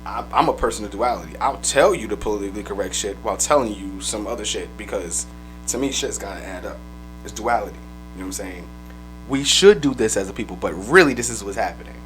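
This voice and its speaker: A man co-hosting a podcast, telling loose, profane stories in casual chat, his voice a little raspy. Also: fairly low pitch, lively intonation, a bit bright, faint music behind, poor audio. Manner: fast, loose, profane storytelling. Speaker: podcast co-host.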